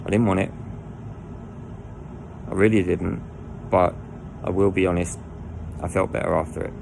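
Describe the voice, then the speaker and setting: A man with a croaky voice, telling his own story in a rambling way full of restarts and asides. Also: sits low, steady tone, normal speed, background noise. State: croaky voice from lying down. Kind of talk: candid personal storytelling. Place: indoors lying down at home.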